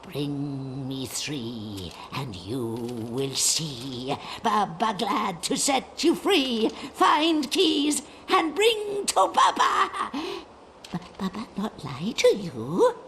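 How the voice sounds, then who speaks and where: A crazed old hag from a dark fantasy game, swooping wildly from low mutters to shrieks. The speech is very drawn out, wheedling and broken, in a gravelly cackle over faint noise. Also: female voice, very animated, extremely slow, exaggerated voice. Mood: scheming, manic. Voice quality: creaky. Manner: cackling, sing-song, broken speech in third person. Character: crazed, wheedling old witch, craving youth. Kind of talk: video game character acting.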